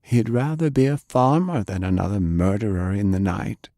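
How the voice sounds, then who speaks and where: A man voicing a principled, weary older gentleman in an audiobook, speaking in a measured, disapproving manner. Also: lowish voice, dry recording, clean studio sound, close to an everyday voice. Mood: reproachful. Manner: measured, reproving. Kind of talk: audiobook character acting.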